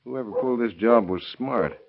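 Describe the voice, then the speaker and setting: An actor playing a shrewd, skeptical lawman, deliberate and matter-of-fact, in 1930s radio drama style. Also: medium pitch, creaky, natural voice. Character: shrewd, skeptical county lawman investigating a killing. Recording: muffled, noisy vintage radio recording.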